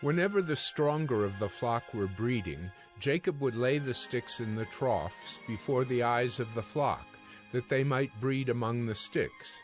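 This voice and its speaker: A man narrating scripture from a script in a measured, solemn storytelling manner. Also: mid-range voice. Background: music.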